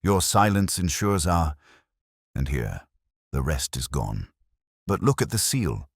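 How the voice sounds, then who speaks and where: A keen, analytical Victorian detective, as a male narrator plays him, speaks in a very deep and animated voice. He is precise, inquisitive and coolly commanding, like a storybook sleuth giving orders to his companion. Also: slow pace, slightly rough, no echo, studio quality, exaggerated voice. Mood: decisive, intrigued. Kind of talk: audiobook character dialogue.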